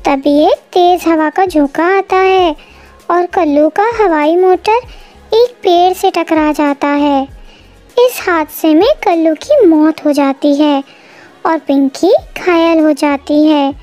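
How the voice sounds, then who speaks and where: A woman's voice: extremely high pitch, slow pace, a little mellow. A woman narrating a scripted cartoon story as the storyteller.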